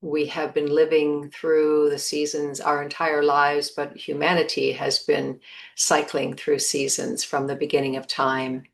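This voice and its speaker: A woman leading a guided meditation in a measured manner, pausing between short phrases. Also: deep voice, monotone, gravelly.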